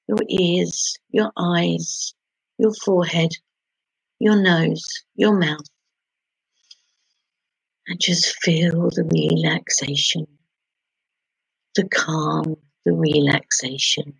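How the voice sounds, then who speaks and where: A woman leading a guided sleep meditation in a soothing, gentle, hushed manner, pausing between short phrases. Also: on the low side, gravelly, breathy edge, no echo, poor audio. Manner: soothing, hushed, gentle instruction with long pauses.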